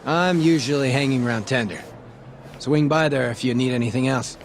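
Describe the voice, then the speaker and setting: An actor playing a smug, corrupt police detective who sells information, breezy and teasing, in an English video game dub. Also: male voice, medium pitch, a little fast, slight background noise, natural voice. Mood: wry, chatty. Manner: breezy, cocky, wry, teasing.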